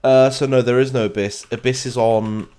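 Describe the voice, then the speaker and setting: A man's voice: mid-range voice, fairly even intonation, normal speed, warm tone, clean tone. A man with a British London accent giving casual, rambling game commentary as a streamer and chatting with viewers as he plays. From London, England. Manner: chatty, rambling, answering viewers while playing. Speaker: gaming streamer.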